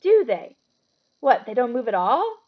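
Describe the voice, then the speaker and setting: A woman's voice: fairly high pitch, very animated, unhurried, narrow, tinny audio, pitched above the performer's own voice. A woman voicing a blunt, casual young schoolboy with an offhand, emphatic way of talking in a storybook. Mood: offhand, amazed.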